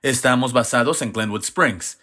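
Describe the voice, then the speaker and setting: Hombre mexicano de mediana edad, acento mexicano, voz de tono medio. Habla pausado y reflexivo, como ponente en un panel comunitario. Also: male voice, medium pitch, pushed and bright. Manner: measured, reflective storytelling. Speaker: executive director on a panel. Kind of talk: panel conversation.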